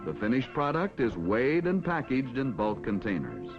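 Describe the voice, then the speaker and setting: An American man reading scripted narration for a mid-century educational film in a formal, measured announcer style. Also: medium pitch, big pitch swings. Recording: dull vintage film soundtrack with music. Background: background music.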